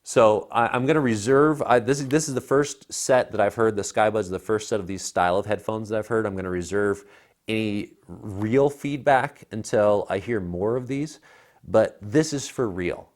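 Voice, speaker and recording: Man, thirties, lowish voice, casual gadget review, emphatic, opinionated co-host. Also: moderate pace. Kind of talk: casual product review chat. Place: living room by a fireplace, indoors. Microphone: lapel mic.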